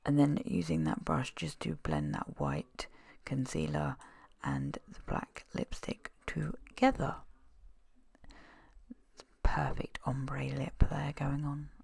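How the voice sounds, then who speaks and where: Woman with a cold, husky and deeply gravelly, in a dry close voice-over for a makeup tutorial. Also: slow pace, a little mellow, dry recording, in a whisper. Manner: chatty and self-deprecating, with frequent ums. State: has a cold. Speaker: makeup vlogger.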